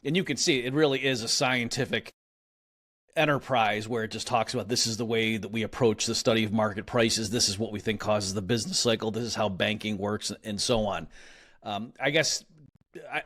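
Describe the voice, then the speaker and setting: A man's voice: lowish voice, fairly bright tone. A man being interviewed as an economist, explaining ideas in a casual, conversational way with frequent fillers and restarts. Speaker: economist guest.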